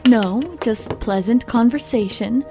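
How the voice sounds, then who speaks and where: A self-assured female paranormal investigator in an audio drama. Her voice is slightly high, wry and probing, over faint music. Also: a little slow. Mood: confident, probing.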